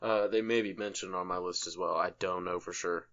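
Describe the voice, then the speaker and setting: A man's voice: low voice, fairly even intonation, a little fast, fairly bright tone. A man co-hosting a casual podcast, talking in slangy, sweary bro-speak on a slightly muffled recording. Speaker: podcast co-host. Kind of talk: casual chat about video game movies.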